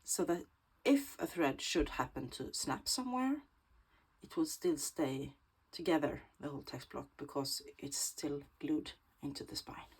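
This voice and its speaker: A woman with a Swedish accent, Swedish native, talking through a bookbinding tutorial in a slow, thoughtful way that often drops to a soft whisper while she works. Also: sits low, slightly rough, rough recording. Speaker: bookbinding crafter. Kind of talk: casual craft tutorial.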